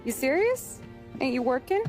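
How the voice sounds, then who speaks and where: An actress playing a shrewd, suspicious working-class wife in a video game, dry and sarcastic. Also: female voice, high-pitched, sing-song, normal speed, over music. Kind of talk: cinematic video game acting.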